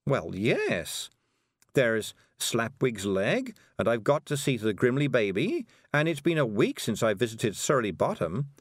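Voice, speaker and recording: A man's voice: medium pitch, big pitch swings, a little slow, no echo, studio quality. A man voicing a kindly, fretful, busy woman witch from a storybook, in a fussy, earnest manner.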